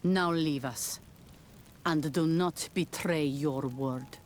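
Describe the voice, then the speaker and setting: A woman's voice: low voice, slow, darkish tone, slight background noise. A woman playing a stern, commanding clan leader in a fantasy video game. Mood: grave, warning.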